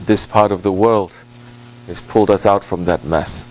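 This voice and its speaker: A man speaking as a Sufi religious teacher in an informal sermon, with deliberate, halting phrasing, repetition for emphasis and Arabic and Turkish devotional phrases mixed into his English. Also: deep voice, steady tone, slight background noise, rough recording. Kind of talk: informal sermon answering a question.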